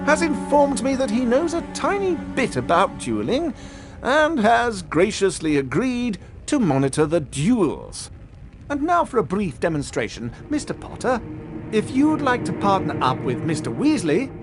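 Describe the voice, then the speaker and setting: High-voiced, pompous showy teacher. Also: male voice, wildly swooping pitch, a little slow, music in the background, heavily acted. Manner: pompous, theatrical. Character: vain, pompous, showy male duelling teacher. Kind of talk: video game character acting.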